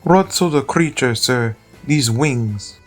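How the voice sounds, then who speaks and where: A woman playing a gruff, suspicious town guard in a fan dub. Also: rumbling low, lively intonation, slow, faint music behind, heavily acted. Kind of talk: fan dub character acting.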